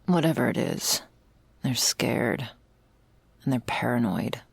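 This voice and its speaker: A woman playing a jaded, sardonic servant of vampires in an intimate ASMR roleplay, husky and deadpan, close to the microphone. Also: a bass voice, slow, no room sound, full character voice. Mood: weary, explaining. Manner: dry, deadpan, sarcastic, foul-mouthed. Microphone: close, dry microphone.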